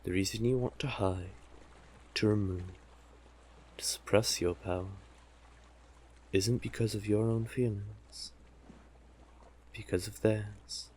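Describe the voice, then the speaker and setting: A man's voice: on the low side, steady tone, darkish tone, a little hiss or hum. A man voicing a cunning male sea witch who coaxes and teases the listener with condescending endearments in a scripted roleplay audio drama. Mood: knowing, persuasive. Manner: slow, teasing, condescending endearments, deliberate pauses. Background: ambient sound effects.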